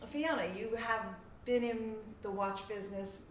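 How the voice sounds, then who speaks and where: Woman host, chatty and warm-laughing, echoey space with faint background noise. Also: a little high, a little slow. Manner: friendly, laughs often, prompts with short questions. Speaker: interviewer, watch journalist. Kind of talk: casual interview.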